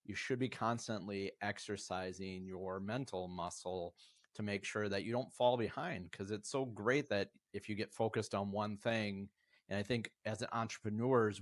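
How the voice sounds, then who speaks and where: A man's voice: on the low side, dry recording. A man in his fifties, a podcast guest, talking earnestly and reflectively in a motivational way. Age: 50s. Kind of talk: casual interview talk.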